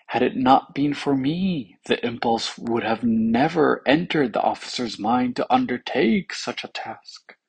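Storybook talking heart, acted by a narrator, throbbing, self-important, slow, husky. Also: mid-range voice. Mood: boastful.

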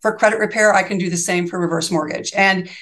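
A woman's voice: mid-range voice, steady tone, quick pace, a bit bright. This is an older woman in her sixties speaking as a specialist guest on an interview show. Her voice sits in a middle register. She talks in earnest, heartfelt bursts, piles clause upon clause and often restarts a thought partway through. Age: 60s. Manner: earnest and fervent, long run-on sentences with restarts. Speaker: reverse mortgage specialist, podcast guest. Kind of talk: interview conversation.

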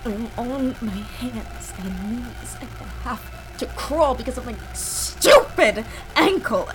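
An actress playing a frightened, injured woman explorer trapped underground in a sci-fi horror audio drama, speaking in halting, stammering fragments. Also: on the high side, expressive, slight background noise, poor audio. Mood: panicking. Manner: halting, stammering, breathy panicked rambling. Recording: loud dramatic music bed behind the voice.

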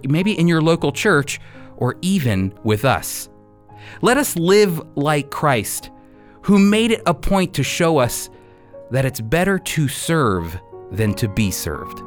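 A man giving a radio devotional as a Bible teacher, with emphatic, deliberate phrasing. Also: a little high.

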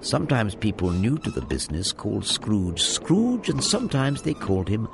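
An actor as the narrator of a radio drama, a man telling the story in a deliberate, wry way. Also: on the low side, huge pitch swings, creaky, noisy background, heavily acted. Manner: deliberate, theatrical.

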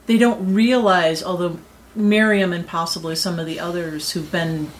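A woman's voice: on the low side, fairly even intonation, moderate pace, low-quality recording. A woman explaining her view in casual discussion, repeating phrases for emphasis. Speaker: voice actor on a round-table.

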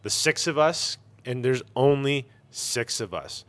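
A man's voice: mid-range voice, measured pace, a bit bright. A man talking casually and emphatically in an interview, giving blunt opinions as a shop owner.

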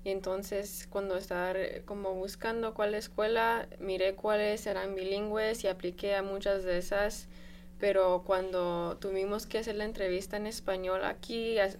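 A young woman student, born in Guatemala and raised in Milwaukee, answering interview questions in a calm, measured way. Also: medium pitch, a little hiss or hum. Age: young adult. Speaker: student guest.

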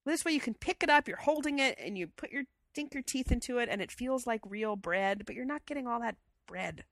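A woman's voice: on the high side, lively intonation, a little fast, fairly bright tone. A woman co-hosting a casual chat show, with a stuffy head cold and a chatty, enthusiastic way of talking. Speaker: podcast co-host.

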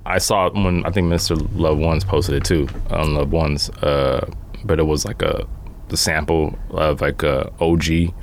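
Low-pitched man chatting casually. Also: steady tone, a little hiss or hum. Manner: informal, slangy, halting and repetitive phrasing. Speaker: podcast guest. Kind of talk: casual chat.

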